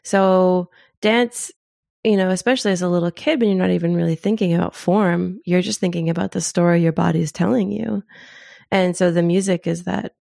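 A middle-aged woman telling personal stories in casual conversation as an interview guest, with a thoughtful, expressive and informal way of talking. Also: fairly low pitch, brisk, vocal fry, dry recording, studio quality. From born in Zimbabwe.

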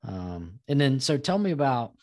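A man hosting a podcast in a casual chat, with a halting, conversational delivery. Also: mid-range voice, very animated, dry recording, studio quality. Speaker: podcast host.

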